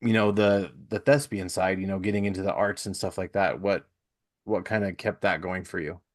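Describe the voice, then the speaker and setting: A man's voice: sits low, fairly even intonation, normal speed. A man hosting a casual interview in an easygoing, informal and agreeable manner. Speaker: podcast host.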